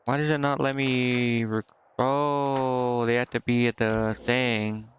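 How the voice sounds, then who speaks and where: A man's voice: mid-range voice, flat delivery, takes it slowly, muffled recording, slight background noise. A man doing casual live game commentary, thinking aloud to himself in slangy, indecisive mutters. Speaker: game streamer. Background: game music.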